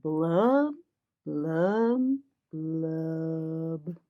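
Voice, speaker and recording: A woman's voice: deep voice, expressive, drawn-out words, voice pushed down, exaggerated voice. A woman voicing a glum, resigned male fish in a children's storybook, in sing-song rhyme on a slightly dull recording.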